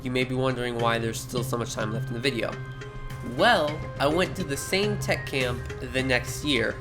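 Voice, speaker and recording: A man's voice: mid-range voice, moderate pace. A teenage male talking casually and rambling, often correcting himself, as he narrates a video retrospective. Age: teens. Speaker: video creator narrating their early animations. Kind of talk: casual voice-over commentary. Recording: music behind the voice. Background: background music.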